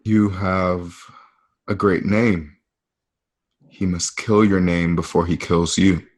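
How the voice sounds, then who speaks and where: Man acting a grave gladiator, extremely low pitch, slow weighty pace. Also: steady tone. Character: grave gladiator giving a warning. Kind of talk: radio play acting.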